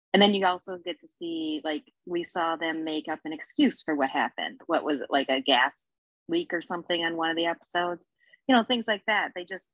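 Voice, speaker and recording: A woman's voice: fairly low pitch, no echo. A woman chatting casually on a podcast, reasoning things through in an explanatory way. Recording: dull lo-fi microphone sound.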